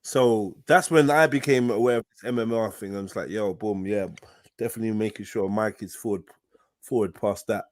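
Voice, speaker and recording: A man's voice: lowish voice, a little fast, no echo, clean studio sound. A middle-aged man hosting a live panel stream, slangy and rambling, laughing often. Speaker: host. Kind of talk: casual panel chat.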